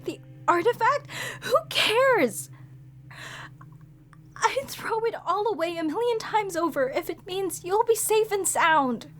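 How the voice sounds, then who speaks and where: A sweet, airheaded young woman pleading desperately in a very high voice that swoops up and down, words drawn out slowly, as a woman plays her in an audio drama. Faint music sits behind her. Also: expressive, a bit bright, full character voice.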